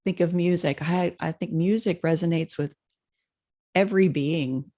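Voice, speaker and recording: A woman's voice: lowish voice, normal speed, mellow. A woman co-hosting a casual spiritual chat, hesitant, with many fillers, stumbling over names. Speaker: podcast co-host.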